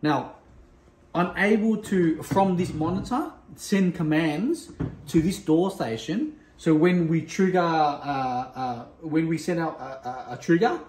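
Male product demonstrator, slightly high voice, halting and repetitive, echoey noisy room. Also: darkish tone. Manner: halting, repetitive, addressing viewers as guys. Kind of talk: unscripted product demo. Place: indoor room, desk demo. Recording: echoey room with background noise.

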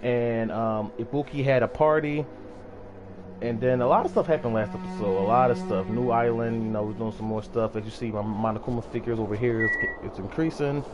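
A man's voice: medium pitch, fairly even intonation, brisk, highs cut off. A man with a slightly scratchy morning voice giving casual, profane let's play commentary to viewers. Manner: slangy, profane, talking to viewers. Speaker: video game streamer. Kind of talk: casual let's play commentary with slangy banter, reading dialogue aloud. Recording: game music behind the voice.

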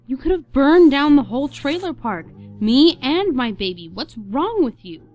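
A woman's voice: high-pitched, big pitch swings, normal speed, darkish tone, soft background music. An actress playing a concerned, bewildered young mother in a radio play. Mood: incredulous. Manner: incredulous, concerned. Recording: slightly dull, lo-fi sound.

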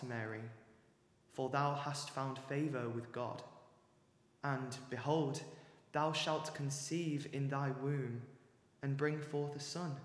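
Young guy doing a formal bible reading, solemn, big echo like in a chapel. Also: medium pitch. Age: young adult. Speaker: student reader. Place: small church or chapel, indoors. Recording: echoey church with long reverb.

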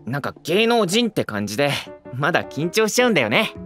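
Young hopeful idol, very animated, earnest, friendly and easily flustered, anime audio drama. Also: male voice, a little high, fast talker, faint music behind. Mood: excited, flustered. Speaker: protagonist and narrator. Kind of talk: anime-style voice drama acting.

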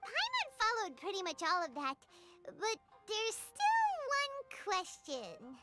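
A woman voicing a tiny, chirpy floating fairy companion in a video game, with an excitable, squeaky manner. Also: loud music behind the voice, exaggerated voice. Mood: curious. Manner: excitable, squeaky, fast-shifting.

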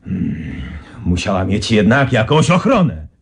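An actor playing a scheming company president who speaks in an unhurried, oily manner. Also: male voice, lowish voice, sing-song, gravelly, slightly rough, full character voice. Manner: unhurried, oily, fawning to superiors. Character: scheming male company boss, smooth and ruthless. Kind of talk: 1990s radio drama acting.

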